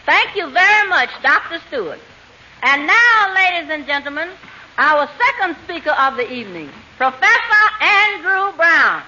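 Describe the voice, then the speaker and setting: A woman's voice: very high-pitched, big pitch swings, moderate pace, over a noisy crowd. A woman playing a formal club chairwoman introducing speakers in a 1940s radio comedy. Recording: muffled, very noisy old radio recording.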